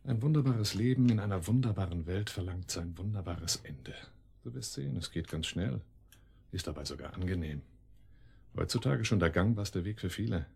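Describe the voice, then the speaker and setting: A cold crime boss with a low, slightly rough voice. He speaks deliberately and sardonically, in the commanding manner of a radio drama villain. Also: expressive, a little mellow, full character voice. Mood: coldly menacing. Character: cold, menacing male crime boss, calmly cruel. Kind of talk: German radio drama acting.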